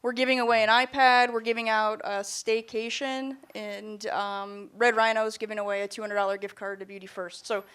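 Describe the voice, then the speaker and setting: A woman's voice: mid-range voice, level tone, normal speed, hardly any pauses, a bit bright, smooth. A woman giving informal announcements to colleagues as a staff presenter at a meeting.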